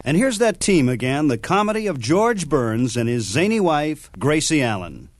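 A man's voice: on the high side, very animated, moderate pace, noisy background. An American man hosting a scripted retrospective program about old-time radio, introducing its segments.

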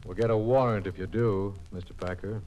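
A man playing a brisk, direct, plain-spoken federal agent in an old radio crime drama. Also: on the low side, fairly even intonation, a little mellow, close to an everyday voice. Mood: firm, official.

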